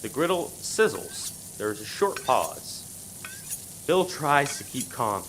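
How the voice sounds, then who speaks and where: A man's voice: mid-range voice, big pitch swings, takes it slowly, fairly bright tone, a little hiss or hum. A man giving scripted narration for a radio play as its narrator.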